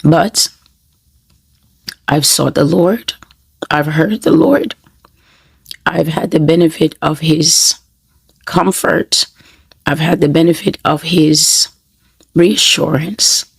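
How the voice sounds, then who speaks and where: A woman speaks a sermon with a hoarse, breathy, gravelly voice. She sits a little low and takes her time, building each point through repeated phrases. The sound is dry and close. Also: no room sound. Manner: slow, measured and repetitive, with emphatic phrasing. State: hoarse, has lost her voice. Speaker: prophetic minister. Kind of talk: spoken sermon to camera. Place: New York City.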